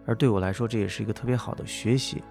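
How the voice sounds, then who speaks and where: A man's voice: on the low side, fairly even intonation, very fast, creaky, a little mellow, soft background music. A man hosting an interview podcast, speaking reflectively and conversationally. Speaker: podcast host. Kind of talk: reflective narrated introduction, then interview conversation.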